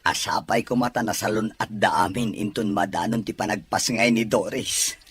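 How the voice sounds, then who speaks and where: A man playing a proud, playful young expectant father in an Ilocano audio drama, with a husky, breathy voice. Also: lowish voice, steady tone, moderate pace, fairly bright tone, dry recording. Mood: elated, earnest.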